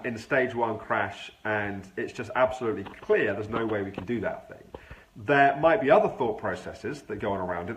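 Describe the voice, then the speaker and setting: A man who has a cold, sounding nasal and gravelly, explaining a thought pattern in a measured, informal live stream. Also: fairly low pitch, a bit bright, low-quality recording. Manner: measured, explains with everyday examples. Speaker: health clinic practitioner hosting a live stream.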